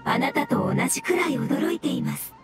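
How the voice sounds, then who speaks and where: Game creature: ancient floating mushroom being, high voice, formal and scholarly, expressive melody, music behind. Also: female voice, normal speed. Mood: polite, earnest. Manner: formal, courteous, explanatory. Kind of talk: Japanese game voice acting, measured dialogue.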